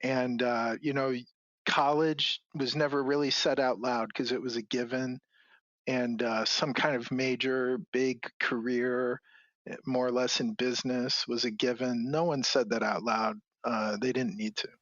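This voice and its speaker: A man's voice: mid-range voice, little pitch movement, unhurried, a bit bright, no room sound. Man, raised in Hong Kong and Illinois, rambling storyteller, muffled dry recording. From Hong Kong and central Illinois. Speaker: podcast guest, clinical officer. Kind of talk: casual storytelling interview.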